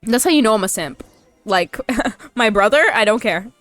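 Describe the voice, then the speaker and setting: A woman's voice: mid-range voice, expressive, moderate pace, a bit bright, no echo. A woman gaming YouTuber giving casual, informal playthrough commentary in a chatty way. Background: faint game music.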